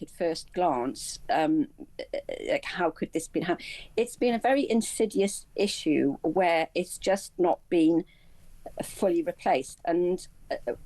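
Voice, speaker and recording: A woman speaking as an expert guest in a live news interview, explaining in halting phrases with repeated words. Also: low voice, warm tone, no echo, rough recording. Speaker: aviation and travel commentator, interview guest.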